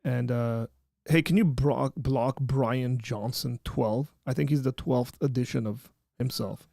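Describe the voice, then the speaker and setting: Gravelly-voiced man hosting a livestream. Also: mid-range voice, unhurried, no echo, clean studio sound. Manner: relaxed, rambling, trailing off quietly. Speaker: livestream host. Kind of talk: casual livestream chat.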